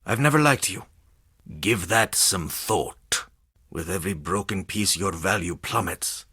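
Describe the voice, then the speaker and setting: A man voicing a cold, domineering figure in a video game, speaking with deliberate disdain in a husky voice. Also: low-pitched, lively intonation, pushed and bright, dry recording, studio quality, full character voice. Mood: cold menace.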